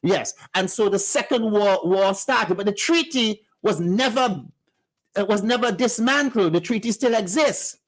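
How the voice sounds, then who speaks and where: A man's voice: sits high, measured pace, low-quality recording. A man co-hosting a radio talk show, lecturing insistently and talking over the others in a heated discussion. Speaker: radio co-host. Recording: radio studio.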